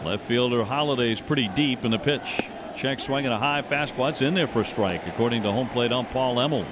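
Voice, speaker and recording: A man's voice: mid-range voice, brisk. A man doing live play-by-play commentary of a baseball game as a radio announcer. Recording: noisy live ballpark radio broadcast. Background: stadium ambience and noise.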